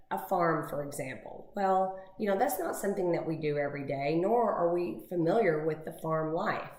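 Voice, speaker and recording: A woman co-hosting a real estate talk show who is losing her voice, chatting casually and warmly with lots of fillers. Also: low-pitched. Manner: chatty, emotional, rambling with many fillers. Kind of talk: casual chat. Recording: echoey room.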